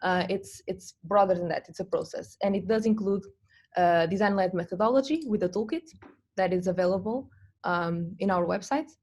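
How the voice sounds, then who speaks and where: A woman's voice: medium pitch, moderate pace, darkish tone. A young adult woman from Portugal presenting an online design workshop over a video call, with frequent ums and restarts. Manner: hesitant, many ums and restarts.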